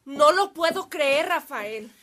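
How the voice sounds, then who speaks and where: An actress playing a priest's loyal, concerned sister, tender in manner, in a radio drama. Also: female voice, high-pitched, expressive, slow pace, fairly bright tone, a little hiss or hum, full character voice.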